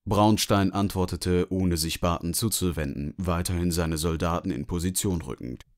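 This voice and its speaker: A man's voice: deep voice, normal speed. A man giving scripted literary narration as the narrator of a story.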